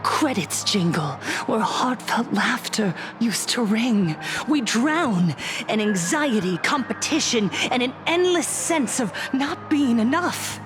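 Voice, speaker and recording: A fervent cult preacher speaks in a high voice with slow, measured weight. Her game-story sermon is solemn, zealous and rousing, with music playing behind her. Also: big pitch swings. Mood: grieving, indignant. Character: fervent female cult preacher promising happiness, smiling and zealous. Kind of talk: theatrical scripted sermon.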